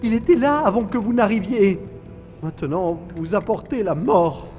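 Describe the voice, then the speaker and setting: An actor playing an unhinged, haunted former dragon hunter turned fearful hermit in a French sci-fi audio drama, theatrical and fatalistic. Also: male voice, high-pitched, lively intonation, normal speed, darkish tone, dull audio. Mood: agitated, despairing. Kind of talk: French science-fiction audio drama acting. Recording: music behind the voice.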